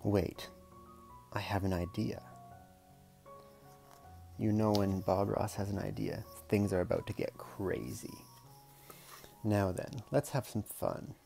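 Picture-book reader, mellow tone, creaky voice, casual and playful, murmured asides, faint music behind. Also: deep voice, takes it slowly, long pauses. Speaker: storyteller reading a picture book aloud. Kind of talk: casual read-aloud with chatty asides.

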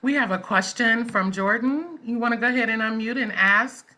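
A woman's voice: fairly high pitch, moderate pace, sharp tone. A woman moderating an online discussion, encouraging and inviting as host. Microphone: video call.

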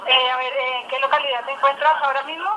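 A woman's voice: sits high, steady tone, quick pace, noisy background. A polite, patient woman, working customer service on a tinny telephone line. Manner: polite, patient, informal address. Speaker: phone company customer service agent. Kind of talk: customer service phone call.

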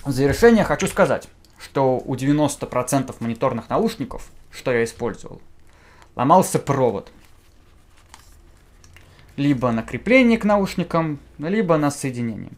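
Young male gadget reviewer, informal, mid-pitched, lively intonation. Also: normal speed. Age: young adult. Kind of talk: informal product review to camera. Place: small indoor room, seated at a table.

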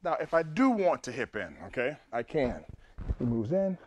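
An older man coaching judo in a large gym, explaining takedowns step by step and punctuating each move with "boom". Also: very animated, moderate pace, a little mellow. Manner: punchy, repeats "boom" between steps. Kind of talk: demonstrating techniques to students. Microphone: camera across the room.

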